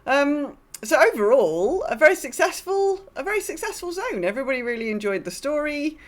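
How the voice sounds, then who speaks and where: A woman's voice: high-pitched, big pitch swings, a little fast. A woman co-hosting a podcast, chatting casually about gaming in a wry, conversational way with occasional swearing. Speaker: podcast co-host.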